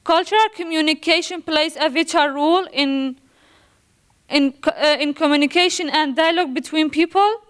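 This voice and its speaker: A young woman from Iraq gives a prepared academic presentation as a student, nervously and haltingly, stumbling over words as she reads carefully. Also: high voice, a bit bright. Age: young adult.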